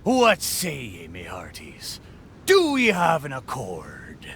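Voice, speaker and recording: An actor playing a boastful, hearty talking pirate ship in a video game, with exclamatory, theatrical pirate talk. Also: male voice, medium pitch, wildly swooping pitch, slow pace, a bit bright, slight background noise, exaggerated voice. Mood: hearty, persuasive. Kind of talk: video game character acting.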